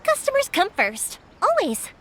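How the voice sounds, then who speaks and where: A woman's voice: very high-pitched, huge pitch swings, unhurried, pushed and bright, a little hiss or hum, full character voice, rough recording. An actress playing a cheerful, talkative, big-hearted young woman who makes fireworks in a video game, earnest and bubbly. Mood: reassuring. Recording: game music, filmed off a screen by phone.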